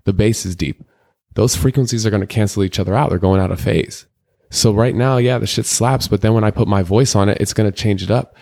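A young man with a deep voice, talking loosely in slang as an interview guest, rambling through candid stories. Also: brisk, creaky, a little mellow. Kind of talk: casual candid interview talk.

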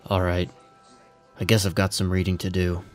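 Understated, unflappable captain, male actor, slightly deep voice, quiet authority for a police radio play. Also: completely flat delivery, soft background music, natural voice. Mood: calm, probing. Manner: dry, understated, unhurried authority. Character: dry, steady male police captain in command. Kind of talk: audio drama acting.

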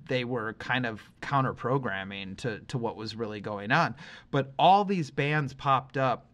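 A man's voice: medium pitch, fairly bright tone. A man in his forties chatting casually as the co-host of a podcast. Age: 40s.

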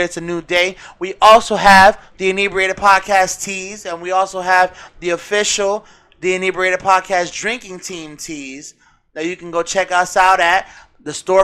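An American man hosting a podcast in casual, slangy, excitable banter with his co-host, swearing freely. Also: high-pitched, clean tone.

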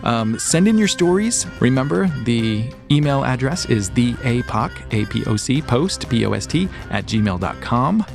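A man's voice: mid-range voice, big pitch swings, normal speed, vocal fry, hardly any pauses, over music. A man hosting a podcast solo, chatting informally and enthusiastically to his listeners and thinking aloud as he goes. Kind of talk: casual rambling show update to listeners.

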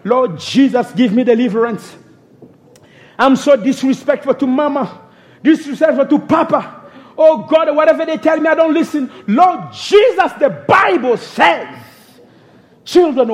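High-voiced young preacher praying fervently. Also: male voice. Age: young adult. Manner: fervent, pleading, crying out "oh God" between phrases. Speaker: minister.

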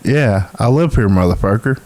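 Low-pitched male regular; dry, deadpan wisecracks in casual chat. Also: moderate pace. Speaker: show regular.

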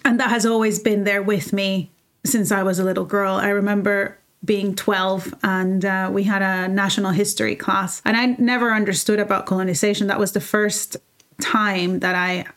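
A woman's voice: medium pitch, flat delivery, normal speed. A woman, speaking as a guest in a reflective conversation, earnest and heartfelt, often restarting her sentences. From El Salvador. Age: 30s. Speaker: guest, fashion designer.

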